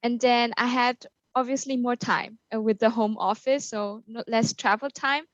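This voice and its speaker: Young woman, from Austria, interview guest, higher voice, lots of fillers, lo-fi dry call audio. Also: steady tone, normal speed, no room sound. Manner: fluent, upbeat, many fillers like "um" and "like". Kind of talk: casual interview. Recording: slightly dull, lo-fi call audio.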